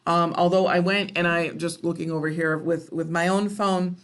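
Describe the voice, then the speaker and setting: A woman's voice: on the low side, level tone, moderate pace. A woman presenting an informal screen-share tutorial in a conversational, explanatory way, often checking in with the listener. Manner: conversational, explanatory, checks in with okay and all right. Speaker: presenter of a library technology tutorial.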